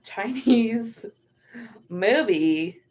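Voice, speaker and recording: A woman's voice: fairly high pitch, lively intonation, extremely slow, darkish tone, poor audio. A young woman streaming and casually answering chat, often trailing off while searching for words.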